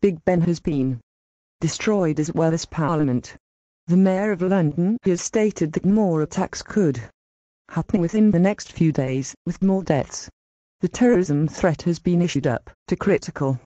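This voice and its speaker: Mellow woman acting as sober newsreader. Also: fairly low pitch, a little slow, no echo, natural voice. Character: sober TV newsreader reporting an attack. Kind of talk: scripted breaking-news narration. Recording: slightly dull, dry recording.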